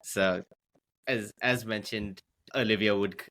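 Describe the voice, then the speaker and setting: A man hosting a podcast from an office, friendly and halting, with many ums and restarts. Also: lowish voice, fairly even intonation, bright, forceful tone. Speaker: podcast host.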